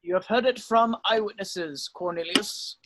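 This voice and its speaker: A man playing a calm, courteous old wizard headmaster, measured and assured, in a table read. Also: high voice, background noise. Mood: firm. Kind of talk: amateur online table read of a screenplay.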